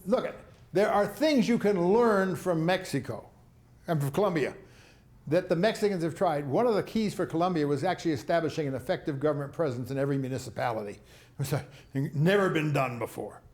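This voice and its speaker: A man's voice: on the high side, expressive, brisk, darkish tone, reverberant. A man giving a rambling talk on foreign policy, with chuckling asides, answering audience questions. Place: lecture hall.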